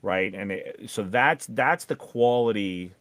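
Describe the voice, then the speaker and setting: A man coaching in a conversational live discussion, explaining in a halting way with repeated words. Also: on the low side, a bit bright, dry recording. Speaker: voiceover coach and co-host.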